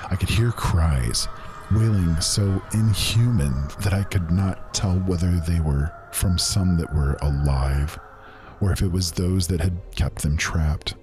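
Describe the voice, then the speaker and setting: An actor playing a haunted, grief-stricken man who solemnly and haltingly recounts fantasy horrors in archaic phrasing. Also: low-pitched, over music. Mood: horrified, dread. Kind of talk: dramatic first-person fantasy narration.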